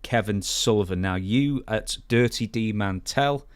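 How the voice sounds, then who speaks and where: Lowish-voiced man hosting a casual podcast. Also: measured pace, smooth, no echo. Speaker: podcast host interviewing a guest. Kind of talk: casual interview chat.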